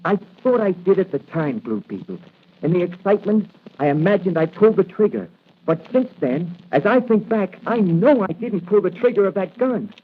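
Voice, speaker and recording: An actor playing a desperate, indignant young bank clerk accused of murder, in melodramatic 1940s radio style. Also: male voice, sits high, big pitch swings, normal speed, breathy edge, heavily acted. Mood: earnest. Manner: melodramatic, pleading. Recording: muffled, noisy vintage radio recording.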